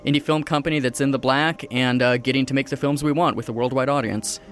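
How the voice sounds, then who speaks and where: A man in his forties from the United States, a guest and independent film producer. He tells his story quickly and with energy, often repeating words as he goes. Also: mid-range voice, fairly even intonation, fairly bright tone, faint music behind. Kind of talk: casual interview chat.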